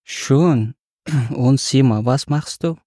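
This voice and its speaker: Slow, mellow non-native man from Afghanistan. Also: mid-range voice, no room sound, studio quality. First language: not German. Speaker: podcast participant.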